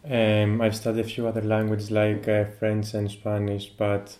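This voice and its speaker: A low, dead-flat male voice, picking his words slowly and filling gaps with ums. The tone is dark and mellow, and the room adds a noticeable echo. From Greece. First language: Greek. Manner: hesitant, many fillers. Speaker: poet. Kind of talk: casual interview chat. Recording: roomy echo.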